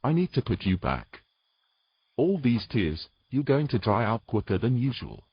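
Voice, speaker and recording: An actor playing a tender, protective man with a husky voice and a gentle, coaxing manner in an intimate fantasy romance. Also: medium pitch, wildly swooping pitch, measured pace, dull audio. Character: tender, protective human man soothing a mermaid.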